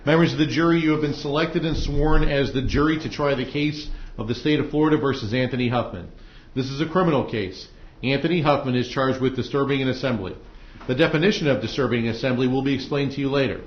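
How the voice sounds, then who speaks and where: An American man presiding as a judge in a courtroom, speaking in an easygoing, halting, conversational way to lawyers and jurors. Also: medium pitch. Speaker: judge presiding over a trial. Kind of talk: courtroom proceedings, informal instructions to jurors. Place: indoor courtroom. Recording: echoey, muffled courtroom audio with noise.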